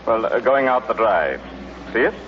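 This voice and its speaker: Old radio comedy role: actor as exasperated American husband, fifties, animated delivery, dull recording with faint hiss. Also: male voice, mid-range voice, moderate pace, natural voice. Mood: grumbling. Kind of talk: 1930s-40s radio comedy acting.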